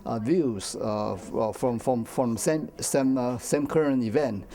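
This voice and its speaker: Older guy, soft mellow tone, stops and starts while answering interview questions. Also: mid-range voice. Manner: halting, repeats words, non-native grammar. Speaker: senior center discussion group leader.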